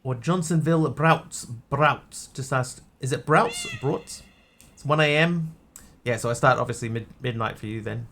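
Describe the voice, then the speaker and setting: A man's voice: medium pitch, animated, measured pace, a bit bright, a little hiss or hum. A man with a mixed Australian and New Zealand accent, chatting casually as a game streamer and answering his chat. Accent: Australian-New Zealand hybrid. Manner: rambling, easygoing, answers chat.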